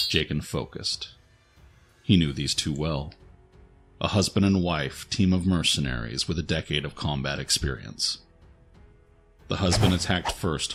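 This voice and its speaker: A man reads dramatic fiction as the narrator of an audio story. His voice is low, and faint music plays behind it. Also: gravelly, a bit bright, breathy edge. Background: faint background music.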